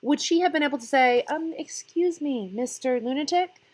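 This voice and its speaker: A woman's voice: high voice, moderate pace, voice pushed up, natural voice. A woman voicing an exasperated middle-aged father in a storybook, mocking and emphatic with heavy sarcasm. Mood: sarcastic, exasperated.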